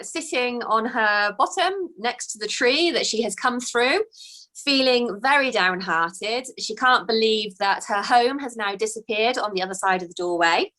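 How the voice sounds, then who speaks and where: A woman author reading her children's book aloud with expressive, unhurried storytelling. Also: fairly high pitch, rough recording.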